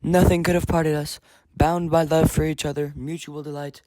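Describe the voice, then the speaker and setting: A man's voice: a little high, little pitch movement, normal speed, warm tone, no room sound, voice pushed up, lightly acted. A man voicing a hearty, nostalgic warrior king with earnest, formal declamation in an audiobook. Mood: fervent, wistful.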